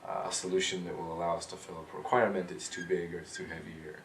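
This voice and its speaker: A young man answering interview questions in a relaxed way, thinking aloud with lots of ums and uhs. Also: sits low, rapid-fire. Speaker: interviewee, rover systems engineer. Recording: roomy, distant mic, clear background noise.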